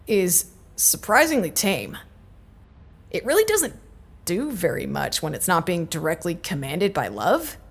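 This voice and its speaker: A woman playing a wry, wary research supervisor in an audio drama, giving a dry, conversational log. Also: lowish voice.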